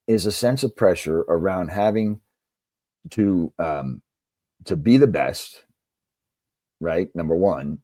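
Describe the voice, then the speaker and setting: A man's voice: low-pitched, dark tone, slightly rough, no room sound. A man speaking as a coach and interview guest, answering conversationally in an earnest, emphatic way.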